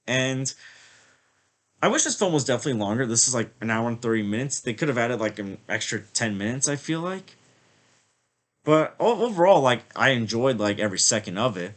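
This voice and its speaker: A man with a slight cold, sniffling a little, giving a rambling, casual movie review with lots of filler words. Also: medium pitch. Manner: rambling, many "like" and "I feel like" fillers. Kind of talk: casual spoken film review.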